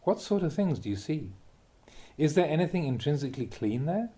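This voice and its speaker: A man reading a Buddhist teaching aloud as a Dhamma talk, in measured, deliberate phrases with emphatic contrasts. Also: on the high side, very animated, mellow.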